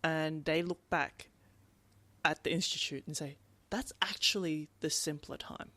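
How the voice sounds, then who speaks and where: A man co-hosting a podcast, talking through books casually in an animated, emphatic way and often repeating words. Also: a little high, a little slow, no echo. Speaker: podcast co-host. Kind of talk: casual book discussion.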